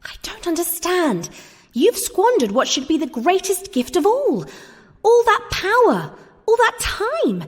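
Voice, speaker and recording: Ambitious high-voiced schemer, animated, echoing. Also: female voice, a little slow, heavily acted. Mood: scornful, frustrated. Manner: urgent, insistent, persuasive. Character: ambitious mortal woman, exasperated, hungry for power. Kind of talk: comic audio drama acting.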